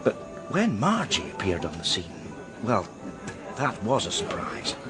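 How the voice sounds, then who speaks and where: An actor playing a discreet male art dealer in a radio crime drama, speaking in a deliberate, hesitant, euphemistic way. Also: lowish voice, huge pitch swings, music in the background. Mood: guarded, hesitant. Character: male art dealer George, heartbroken, guarded, discreet old friend.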